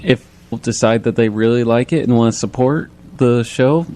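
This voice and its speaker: A man's voice: medium pitch, moderate pace, warm tone. A man speaking informally and a little hesitantly as a guest in a casual radio interview. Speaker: interview guest, creator of an animated project.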